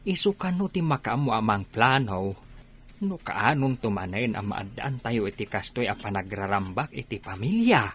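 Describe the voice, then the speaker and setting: A man's voice: fairly low pitch, animated, normal speed, a bit bright, slight background noise. Swooping old Ilocano father. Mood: wondering. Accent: Filipino, Ilocano. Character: elderly father, relieved, pious, sighing old husband. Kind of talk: radio drama acting.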